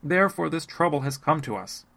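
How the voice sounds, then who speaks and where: A man's voice: on the high side, expressive, creaky, pushed and bright, exaggerated voice. A man reading the lines of a group of brothers who plead their honesty and confess their guilt. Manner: slow, earnest pleading. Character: frightened guilty band of brothers.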